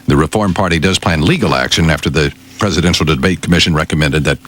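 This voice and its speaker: A man's voice: rumbling low, fast. A man working as a radio newsman and sidekick, reading news items and trading dry, agreeable banter. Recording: slightly noisy archived radio broadcast.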